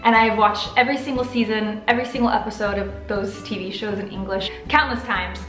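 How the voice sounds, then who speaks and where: A young American woman vlogging to camera from her living room, upbeat, expressive and chatty. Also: medium pitch, brisk, roomy sound, soft background music, poor audio. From United States. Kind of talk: casual to-camera vlog. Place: living room with sofa, indoors.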